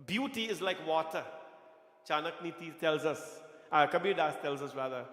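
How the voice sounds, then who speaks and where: A middle-aged Guyanese-accented man delivers an earnest sermon, repeating key phrases and tossing questions to the congregation. His voice sits a bit high for a man. It rings through a reverberant temple hall. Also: expressive, moderate pace, fairly bright tone. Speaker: temple pastor.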